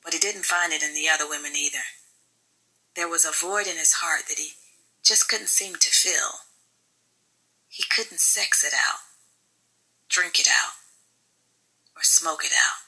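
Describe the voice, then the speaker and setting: A woman's voice: low voice, steady tone, measured pace, pauses often, bright, forceful tone, slightly rough, poor audio. A woman reading scripted fiction as an audiobook narrator.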